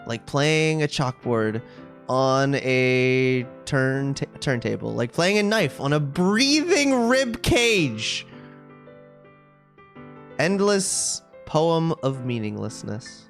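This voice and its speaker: A game streamer talks casually over music, reading text aloud. The pitch moves a lot, and the delivery is expressive and halting.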